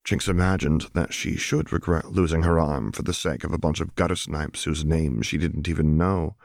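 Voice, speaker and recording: A man's voice: a bass voice, steady tone, normal speed, gravelly, a little mellow, no room sound, studio quality. A man reading scripted fiction as a dramatic audiobook narrator.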